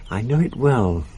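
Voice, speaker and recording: A man's voice: medium pitch, darkish tone, breathy edge, full character voice, rough recording. A man playing a self-assured young man who tells the story in a radio drama. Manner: cool, matter-of-fact. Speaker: narrator and friend. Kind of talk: 1980s radio drama acting.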